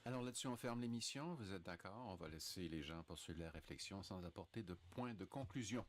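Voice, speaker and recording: A man hosts a casual radio panel. His voice is on the low side and swings with lively intonation. He rambles informally and likes to tease. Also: a little fast, slight background noise. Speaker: radio show host. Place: radio studio.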